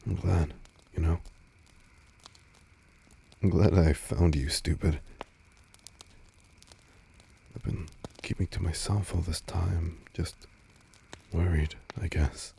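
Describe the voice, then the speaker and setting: A man playing a tender, teasing but insecure vampire lover in an intimate roleplay audio drama, murmuring breathily and haltingly, often slipping into whispers. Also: low voice, fairly even intonation, gravelly, dark tone, a little hiss or hum, full character voice. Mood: vulnerable, grateful.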